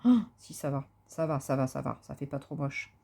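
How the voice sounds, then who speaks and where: A woman's voice: very deep voice, lively intonation, moderate pace, gravelly, mellow, breathy edge. Chatty woman crafting with lots of fillers. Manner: chatty, thinks aloud with many fillers and restarts; enthusiastic about colours. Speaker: craft vlogger. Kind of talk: casual crafting chat.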